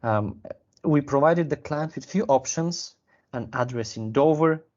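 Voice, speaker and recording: A man, a sales manager explaining a client case in a measured, careful way with pauses to find words. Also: medium pitch, darkish tone, highs cut off. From Bulgaria. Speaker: sales and business development manager. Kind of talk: business interview.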